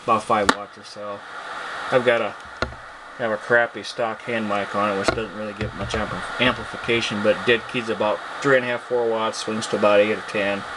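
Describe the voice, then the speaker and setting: A man casually showing off a piece of his collection on camera, speaking in a halting, plainspoken way. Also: on the low side. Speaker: hobbyist video presenter, radio collector. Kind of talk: casual product show-and-tell, unscripted. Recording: loud steady hum and hiss.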